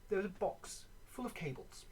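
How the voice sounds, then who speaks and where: A gaming video creator chatting casually and off the cuff in a halting way while showing items. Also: mid-range voice, expressive, a little mellow. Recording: clearly noisy background.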